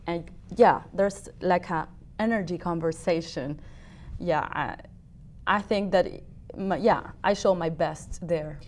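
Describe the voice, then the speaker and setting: Woman, Uruguayan Spanish accent, Spanish native speaker, slightly low voice, hesitant, interview guest. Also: takes it slowly, a little mellow. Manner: hesitant, many restarts and fillers. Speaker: opera singer, guest. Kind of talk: casual interview answers.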